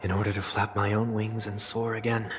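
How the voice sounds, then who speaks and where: An actor playing a melancholic, refined young man in a game cutscene, speaking in measured, poetic, wistful phrases. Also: lowish voice, flat delivery, natural voice. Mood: wistful, solemn. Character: melancholic young male fallen idealist, refined and soft-spoken. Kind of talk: dramatic video game cutscene acting. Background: music.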